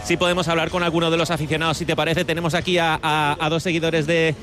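A man's voice: a little high, flat delivery, quick pace, over music. A man from Spain with a Spanish accent, reporting live for sports radio and chatting to fans. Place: outdoors at a stadium entrance.

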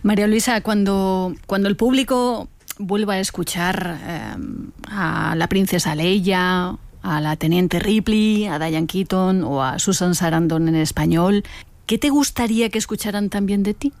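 A woman interviews in a conversational, encouraging way. Her medium-pitched voice is recorded dry, with no room sound around it. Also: unhurried, darkish tone, dry recording. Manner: encouraging, interjecting brief agreements.

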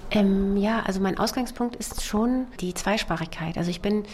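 A woman's voice: medium pitch, normal speed, a little mellow, a little hiss or hum. Hesitant, thoughtful woman novelist. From Germany, child of Soviet immigrants. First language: Russian. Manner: thoughtful, hesitant, many fillers and restarts. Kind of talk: literary interview.

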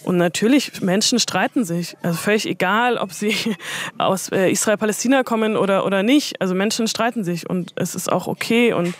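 A woman's voice: mid-range voice, moderate pace. A woman speaking as an interview guest, conversational, explaining her views in a conciliatory way. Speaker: interview guest, museum curator.

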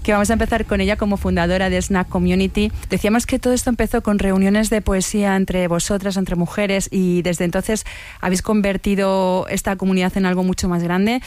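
A woman's voice: mid-range voice, fairly even intonation, brisk, a little mellow, no room sound. A woman from hosting a conversational radio interview in a studio. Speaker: radio host interviewing guests.

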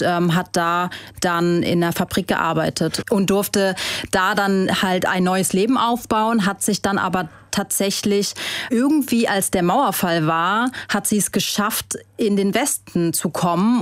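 A woman from Germany, a guest and author, giving thoughtful, measured interview answers with the odd hesitation. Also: medium pitch, no room sound, clean studio sound.

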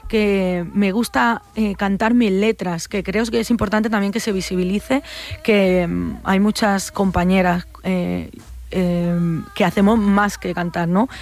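A young woman with a Barcelona Spanish accent talks about her work as a flamenco singer. She answers slowly and reflectively, pausing on fillers and restarting her sentences as she searches for the right words. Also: mid-range voice, steady tone, darkish tone. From Spain. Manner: thoughtful, hesitant with many fillers and restarts. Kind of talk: interview answers.

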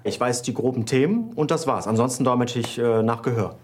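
A man's voice: medium pitch, fairly quick, slightly rough. A man who grew up with German Sign Language, giving spontaneous interview answers as an interpreter.

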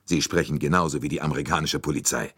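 A man voicing an excitable, defensive Italian man who protests with scornful, indignant emphasis in an audiobook story. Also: a bass voice, fast talker, slightly rough, no echo, clean studio sound, heavily acted.

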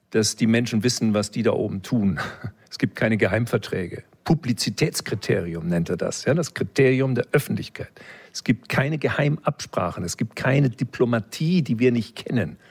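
A man's voice: medium pitch, slightly rough. A man answering interview questions in a reflective, lecture-like way, explaining like a professor and adding small check-in tags. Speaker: interview guest, philosophy expert.

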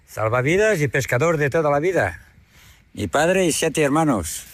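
A man's voice: fairly high pitch, animated, moderate pace, dry recording. A middle-aged Portuguese boatman and fisherman with a Portuguese accent. He is a native Portuguese speaker who chats casually and often tags his phrases with a questioning "no?". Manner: chatty, ends phrases with a questioning "no?". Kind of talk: casual interview on a boat.

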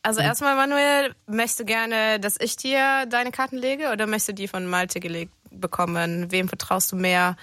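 A woman's voice: mid-range voice, moderate pace, few pauses, fairly bright tone, no echo. A woman offering to read tarot cards, talking in a playful, teasing way. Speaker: tarot reader.

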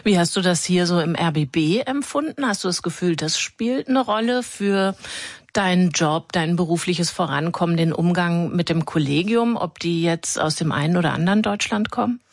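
Lower-voiced woman with slight Hessian accent. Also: animated, moderate pace, no room sound, clean studio sound. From Hesse, Germany. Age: 50s. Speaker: radio talk show host. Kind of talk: conversational on-air co-host talk.

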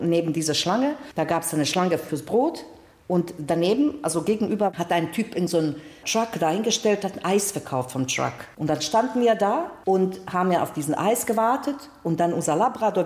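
A woman's voice: lowish voice, darkish tone. A middle-aged woman from Serbia, a native Serbo-Croatian speaker with a Balkan accent in German, telling personal stories expressively as an interview guest. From Serbia, former Yugoslavia. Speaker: guest, singer, actress and author. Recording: roomy, echoing space.

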